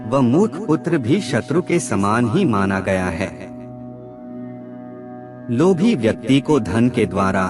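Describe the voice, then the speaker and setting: A man narrating scripted moral aphorisms in a measured, instructive recitation. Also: fairly low pitch.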